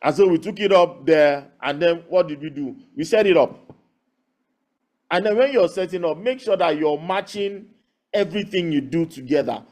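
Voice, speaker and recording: A man speaks with a Nigerian accent in a somewhat high voice. He tells his own story as an interview guest, making points emphatically and often checking in with rhetorical questions. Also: normal speed, darkish tone. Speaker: loudspeaker builder and audio engineer, guest.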